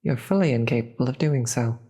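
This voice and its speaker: A performer voicing a weary, regal demon queen with a husky voice and formal, theatrical manner in a scripted audio roleplay. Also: medium pitch, expressive, warm tone. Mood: condescending, amused. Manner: theatrical, formal, slow deliberate delivery.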